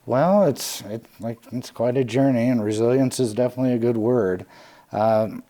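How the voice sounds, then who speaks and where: A man in his late forties from California, a Salvation Army lieutenant telling his own story candidly, halting and restarting often. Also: mid-range voice, dark tone. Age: late 40s. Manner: halting, with frequent restarts and fillers.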